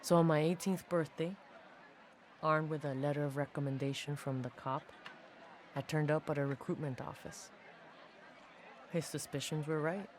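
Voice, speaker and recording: A woman's voice: deep voice, steady tone, moderate pace, pauses often, slight background noise, lightly acted. A woman playing a young special forces soldier from the slums, telling her story in a confiding, casual game audio log. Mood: wry, proud. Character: young female special forces soldier, tough favela-raised street kid. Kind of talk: dramatic first-person audio log narration.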